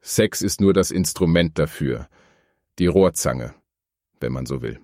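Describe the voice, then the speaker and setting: Man acting a deep-voiced, opinionated earnest plumber. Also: expressive, measured pace, heavy background noise, heavily acted. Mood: dismissive, matter-of-fact. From Dithmarschen, northern Germany. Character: earnest, grumbling male plumber, young father, scornful of luxury. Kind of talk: mock-documentary radio play interview.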